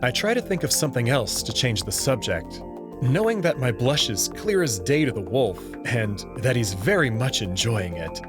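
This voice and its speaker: Man, story narration, expressive varied pitch, mid-range voice, soft music behind. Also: normal speed. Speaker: narrator and reader of a visual novel. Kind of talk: first-person story narration with acted dialogue.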